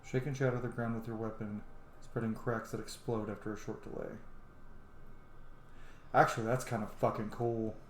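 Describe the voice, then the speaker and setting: A man's voice: on the low side, level tone, normal speed, long pauses. Male let's play host, casual commentary, echoey room sound, faint background noise. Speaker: gaming YouTuber, host.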